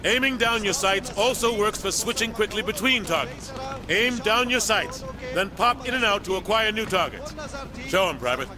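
American army sergeant in a shooter game, bossy blunt instructor, highish voice, talking loud to a group. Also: male voice, lively intonation, moderate pace. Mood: commanding, blunt. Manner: blunt, commanding, projecting to a group. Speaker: drill instructor. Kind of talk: military game tutorial dialogue.

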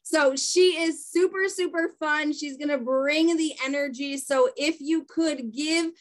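A woman's voice: high voice, measured pace. A woman in her thirties with a Boston-area New England accent, hosting a training webinar on a video call in an upbeat, encouraging way. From New Hampshire, US. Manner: peppy, enthusiastic, encouraging. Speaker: host and coach. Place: small room indoors. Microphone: webcam on a video call.

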